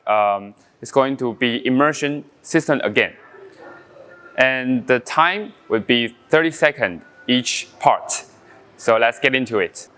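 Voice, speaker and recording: Taiwanese coffee champion, smooth voice. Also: male voice, mid-range voice, a bit bright. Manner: halting non-native English with frequent fillers, explaining step by step. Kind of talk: casual brewing demonstration.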